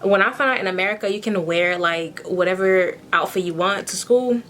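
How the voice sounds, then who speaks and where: A young woman from Haiti, chatting casually through a get-ready-with-me skincare routine as a beauty vlogger, frank and quick to ask "okay?". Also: medium pitch, a bit bright, a little hiss or hum.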